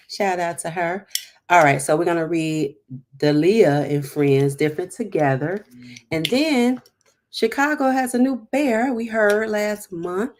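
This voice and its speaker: Lower-voiced woman reading a storybook aloud. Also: animated, unhurried, darkish tone, a little hiss or hum. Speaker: host reading a picture book aloud. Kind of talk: read-aloud storytime with casual asides.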